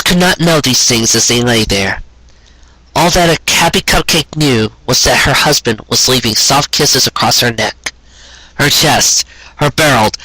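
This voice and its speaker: Male story reader, earnest and dramatic, bright edgy tone, close dry sound. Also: medium pitch, breathy edge, no room sound, low-quality recording. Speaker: fan fiction reader and show host. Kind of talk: expressive narrated story reading.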